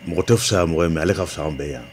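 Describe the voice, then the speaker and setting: Super deep voice guy thanking everyone and praying on the radio, faint hiss. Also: rapid-fire. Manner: formal, full of thanks and prayers. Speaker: radio guest. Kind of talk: closing remarks with blessings.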